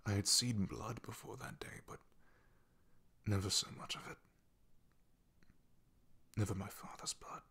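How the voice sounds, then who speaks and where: A man playing a solemn, haunted, devoted knight in an ASMR fantasy roleplay, speaking haltingly in a husky, gravelly half-whisper. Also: on the low side, fairly even intonation, exaggerated voice. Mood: wistful, somber. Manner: hesitant, halting, confiding in hushed tones. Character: loyal male knight, solemn, haunted, devoted to his princess.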